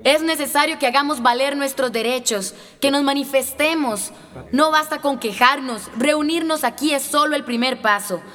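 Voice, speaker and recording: A resolute activist rallies a meeting in a radio drama. Her high, declamatory voice rings through a reverberant hall. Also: normal speed, fairly bright tone. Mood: rallying. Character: female activist leader, firm and rallying. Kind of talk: radio drama public speech. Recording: echoey hall with long reverb.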